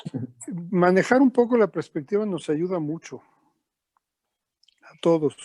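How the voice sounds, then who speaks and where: A man on a talk panel who makes his points in a measured, reflective way. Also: high-pitched, animated, a little mellow, dry recording. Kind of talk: panel discussion.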